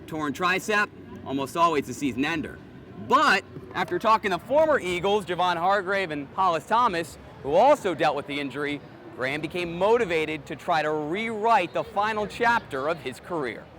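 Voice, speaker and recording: A man's voice: high voice, lively intonation, moderate pace, bright, forceful tone, a little hiss or hum. A man working as a sports anchor and reporter, with a playful, emphatic broadcast delivery. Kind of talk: sports broadcast narration and desk banter.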